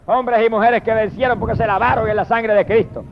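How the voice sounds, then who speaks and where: A man's voice: high voice, fairly even intonation, fairly quick, slight background noise. A man preacher delivering a fervent evangelical sermon in an emphatic, declamatory way, drawing out exalted phrases and addressing listeners directly. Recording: dull lo-fi sermon recording.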